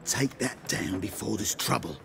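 An actor playing a threatening, gravelly tavern thug in a fantasy video game. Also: male voice, fairly low pitch, normal speed, fairly bright tone, slight background noise. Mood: menacing, scornful.

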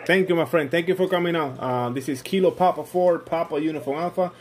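A man's voice: fairly high pitch, fast, breathy edge. A friendly man with a Puerto Rican accent and Spanish as his first language, chatting casually as a ham radio operator and often repeating himself. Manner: friendly, repetitive. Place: indoors at a radio station. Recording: noisy phone recording beside radio.

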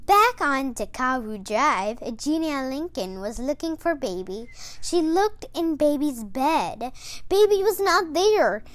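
A woman reading a children's story aloud in an expressive, deliberate manner for beginning readers. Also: sits high, dry recording.

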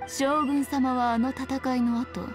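A sly, ageless fox shrine priestess in an anime game. Her voice is fairly high, with haughty, teasing, old-fashioned phrasing, over clear background music. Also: level tone, slow. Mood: grave. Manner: archaic, imperious, teasing phrasing.

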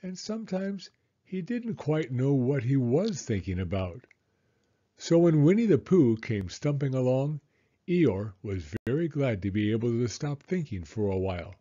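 A man reading a children's story aloud in an expressive, deliberate storytelling manner. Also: mid-range voice, highs cut off. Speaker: storybook reader.

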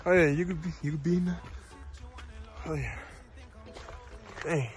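A man's voice: a little high, a little slow, music in the background. A man in his twenties chatting casually for a hiking vlog into a handheld phone outdoors, slangy, joking and swearing. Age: 20s. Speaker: vlogger. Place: mostly outdoors on mountain trails. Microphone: phone at arm's length.

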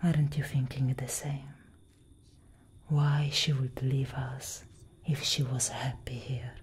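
A young woman playing a brooding, protective elder vampire sister in a soft, breathy, whispered ASMR roleplay. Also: extremely low pitch, level tone, slight background noise. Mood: tender, sorrowful. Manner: breathy, slow, intimate, dramatic.

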